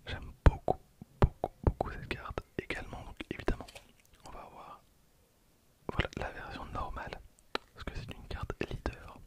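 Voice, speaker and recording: A man in his late twenties whispering ASMR commentary softly and unhurriedly, close to the microphone. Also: normal speed, pauses often. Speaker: ASMR video creator opening trading cards. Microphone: close handheld stereo recorder.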